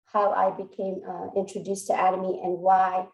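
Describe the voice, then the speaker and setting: A woman in her forties who grew up in South Korea and whose first language is Korean, introducing herself in a measured way with frequent fillers and restarts on a video call. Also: mid-range voice, completely flat delivery, a little mellow. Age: 40s. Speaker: presenter. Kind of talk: personal introduction talk. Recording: echoey room, mic far from speaker.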